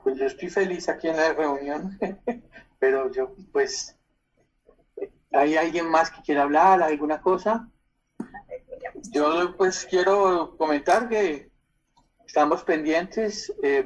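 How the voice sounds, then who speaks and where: A man hosting an online club meeting, wrapping up with hesitant remarks full of fillers. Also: a little high. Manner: warm, hesitant, many fillers. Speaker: club host. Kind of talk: closing remarks on video call. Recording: dull, lo-fi call audio.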